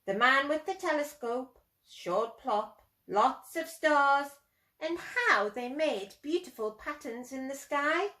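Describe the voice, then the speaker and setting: A woman's voice: high voice, lively intonation, slow, pushed and bright. A woman storyteller reading aloud expressively to young children and addressing her listeners directly. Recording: roomy echo.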